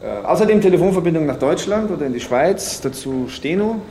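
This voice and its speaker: A rocket engineer talks fast. He is formal and didactic, like a boss instructing a newcomer, with clear noise behind him in a radio drama. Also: a little high, expressive, warm tone. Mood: brisk, instructive. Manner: didactic, formal, technical explaining. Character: brisk male German rocket engineer showing a new secretary around. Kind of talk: naturalistic radio drama acting. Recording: noisy background of factory and corridors.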